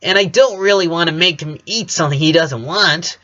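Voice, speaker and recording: Man acting a sporty tomboy sister, defensive, teasing, raised pitch, slightly dull recording. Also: fairly quick, sharp tone. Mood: forced calm. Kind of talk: storybook character voice.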